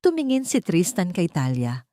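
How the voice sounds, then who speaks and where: A woman's voice: on the low side, huge pitch swings, moderate pace, warm tone, no echo, studio quality. A woman narrator reading a scripted, dramatic story.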